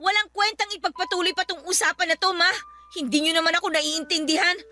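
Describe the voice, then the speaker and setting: A woman's voice: extremely high pitch, steady tone, fast, bright, forceful tone, soft background music. A young woman playing a dutiful, weary daughter in a Filipino radio drama, respectful and pleading but aggrieved. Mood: hurt, exasperated. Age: young adult.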